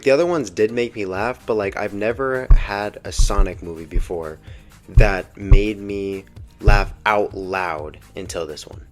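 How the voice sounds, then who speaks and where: A young man giving casual, slangy movie commentary with the earnest enthusiasm of a fan. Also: lowish voice. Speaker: video essay host reviewing a film. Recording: music behind the voice. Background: background music.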